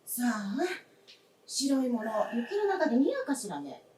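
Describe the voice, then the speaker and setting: A woman's voice: on the high side, huge pitch swings, unhurried, darkish tone, a little hiss or hum. A woman playing a genteel, candid grown woman of the family in a stage radio drama, with polite old-fashioned feminine speech. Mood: light, polite. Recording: roomy, distant stage recording.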